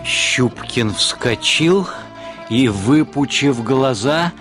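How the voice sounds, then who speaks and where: A man's voice: medium pitch, animated, very slow. A man narrating a literary comic story for a radio play. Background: music.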